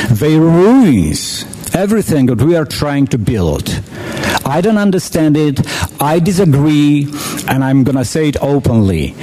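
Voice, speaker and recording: A Polish-accented man addresses a crowd in a hall. His voice is mellow and dark, and he is emphatic, stumbling over repeated words. Faint noise hums behind him. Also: fairly high pitch. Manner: emphatic, halting with repeats and fillers. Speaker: festival organizer introducing a speaker. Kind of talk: formal welcome speech. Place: event hall.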